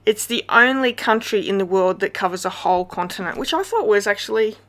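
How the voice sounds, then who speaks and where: A middle-aged Australian woman talking through a papercraft project in a relaxed chatty way, with plenty of ums and small self-corrections. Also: mid-range voice, brisk. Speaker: papercraft demonstrator. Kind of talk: casual craft tutorial chat.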